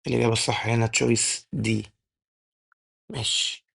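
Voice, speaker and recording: A young man with an Egyptian Arabic accent tutoring exam chemistry, his voice worn and going hoarse, firing questions at the class and answering them himself. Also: mid-range voice, level tone, a bit bright. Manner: rapid drilling, asks and answers his own questions. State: losing his voice. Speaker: chemistry teacher. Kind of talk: exam-prep tutoring lesson.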